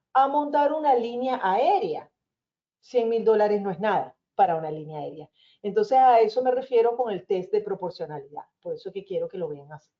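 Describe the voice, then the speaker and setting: A woman with a Venezuelan accent, an immigration lawyer explaining visa options in a webinar in a calm, reassuring manner. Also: mid-range voice, highs cut off. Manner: reassuring, explanatory, addresses listeners as señores.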